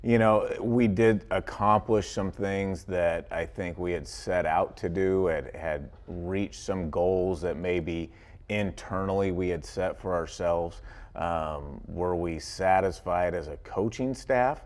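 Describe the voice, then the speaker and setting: Very deep-voiced male football coach. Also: slow, a little mellow. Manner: rambling, frequent "you know". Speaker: football head coach, interview guest. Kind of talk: conversational sports interview. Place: studio.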